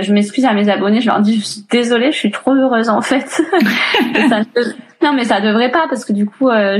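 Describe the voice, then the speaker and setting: A woman in her thirties talking casually in an interview, chatty and full of fillers and restarts. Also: medium pitch. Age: 30s. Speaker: interviewee, single mother. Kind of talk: casual chat.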